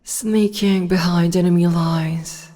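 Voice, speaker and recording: A woman playing a haughty demon empress in an audio roleplay, unhurried and condescending. Her tone is low and mellow, and a long reverb trails after each line. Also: fairly even intonation. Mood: teasing. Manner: condescending, slow and teasing. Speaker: voice actress.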